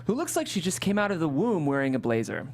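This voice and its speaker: A woman's voice: sits low, sing-song, fast, darkish tone, slight background noise. A woman hosting a panel and reading out script narration. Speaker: host and narrator.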